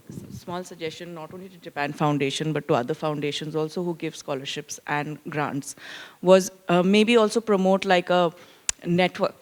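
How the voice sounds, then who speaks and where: A woman fellow giving an earnest floor comment at an academic conference, often saying "like" and "kind of". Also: on the low side. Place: conference room.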